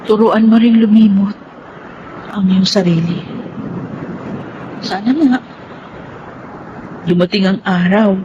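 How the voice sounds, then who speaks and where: A woman's voice: mid-range voice, close to an everyday voice. An actress playing a proud, heartbroken young woman in a Filipino radio drama, solemn and emotional in delivery. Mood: pained. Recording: dull radio recording with loud music.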